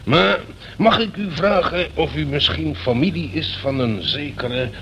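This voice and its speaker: A man's voice: a little high, measured pace, fairly bright tone. An actor playing a pompous, blustering old retired general in a radio play, repeating himself as he reminisces. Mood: hearty, admiring. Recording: creaky radio drama recording with background hiss.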